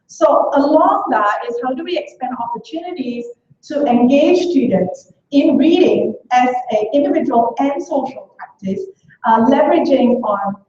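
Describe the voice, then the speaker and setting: A woman's voice: sits high, expressive, moderate pace, darkish tone, a little hiss or hum. Woman lecturing from afar in an echoey hall. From Singapore. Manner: hesitant, many fillers and restarts. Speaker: education researcher presenting at a workshop. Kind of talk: conference talk. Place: lecture room. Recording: echoey hall from far off, dull sound.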